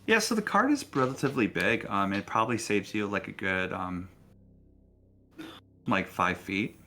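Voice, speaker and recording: A man acting as game master, casually improvising narration for a tabletop game in halting, restarted phrases. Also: on the low side, lively intonation, pushed and bright, soft background music.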